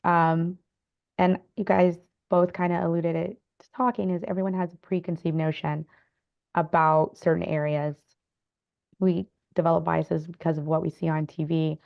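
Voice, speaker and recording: A woman's voice: on the low side, little pitch movement, normal speed, creaky, dull audio. A woman speaking as a guest author, telling her own story casually. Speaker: panel guest, novelist.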